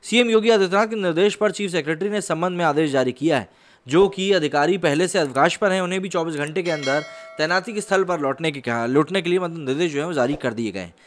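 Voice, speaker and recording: Higher-pitched man presenting news. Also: quick pace. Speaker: YouTube news host and commentator. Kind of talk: news commentary, reading reports to camera.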